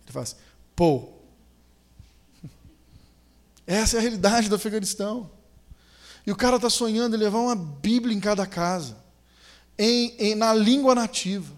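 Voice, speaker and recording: A man preaching, his voice sitting fairly high for a man. He tells a story in a lively way and climbs into loud, emphatic peaks. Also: measured pace, pauses often. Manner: animated storytelling, builds to emphatic climaxes. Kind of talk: sermon with storytelling.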